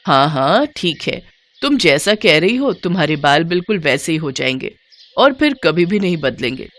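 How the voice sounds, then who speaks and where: A woman voicing a stern, sly wise-woman healer in a storybook, measured and knowing, with music behind. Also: deep voice, big pitch swings, a bit bright, heavily acted.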